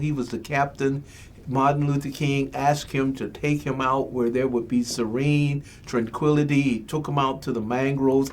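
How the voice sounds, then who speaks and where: A man's voice: medium pitch, a little hiss or hum. A man from the Bahamas hosting a motivational talk show, speaking in preachy slogans. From Bimini, Bahamas. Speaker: host.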